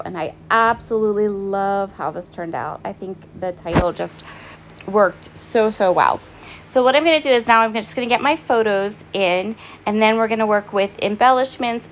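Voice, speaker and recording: A woman talks through her craft project in an easy, chatty voice-over, pausing often with "um" and sometimes apologizing. Her voice is raspy and hoarse, with a gravelly fry, and it sits at a medium pitch for a woman. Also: slight background noise. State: hoarse, voice partly fading. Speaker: craft vlogger. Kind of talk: casual voice-over narrating a craft process. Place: at home, in bed.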